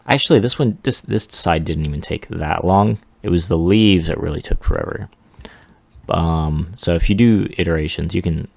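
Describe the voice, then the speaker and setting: A man giving a casual, unscripted software walkthrough as a 3D artist, rambling along in a self-deprecating way. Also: low-pitched, gravelly, dry recording. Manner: rambling, self-deprecating, chuckles at own remarks. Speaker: 3D artist presenting a demo.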